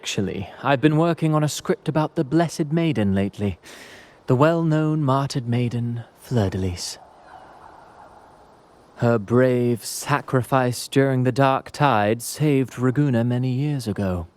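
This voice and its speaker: A man's voice: mid-range voice, a little slow, darkish tone, slight background noise, lightly acted. An actor playing a theatrical, grandiloquent playwright in a video game, with florid and courtly flourishes in his speech. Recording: music behind the voice. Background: clear background music.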